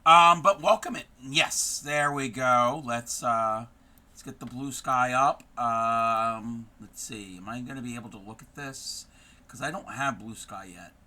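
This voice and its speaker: Guy streaming his craft stuff, voice going hoarse, super bright tense tone, rambly and chatty. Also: medium pitch, unhurried. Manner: chatty, greets viewers by name, frequent ums. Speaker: craft live-streamer host. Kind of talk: casual live-stream chat.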